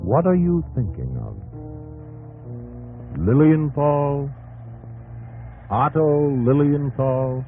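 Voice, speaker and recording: An American actor playing a solemn, probing narrator in dramatic 1950s radio style, addressing the hero directly. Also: male voice, a little high, lively intonation, drawn-out words, pauses often, exaggerated voice. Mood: hushed, suspenseful. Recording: muffled old radio broadcast, music underneath.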